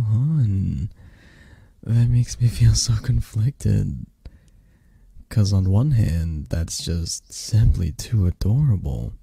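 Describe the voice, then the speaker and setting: Man acting a deep, mellow, drowsy young dragon boyfriend. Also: gravelly, husky, no echo, clean studio sound, exaggerated voice. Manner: gentle, drowsy, teasing. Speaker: roleplay audio performer. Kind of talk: intimate ASMR boyfriend roleplay.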